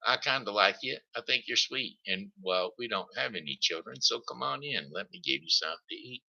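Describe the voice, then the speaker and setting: Brief: gentle little old lady, put on by a man, gravelly, lo-fi. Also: on the low side, expressive, a little fast, heavily acted. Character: kindly little old woman, giant's wife. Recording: dull lo-fi recording.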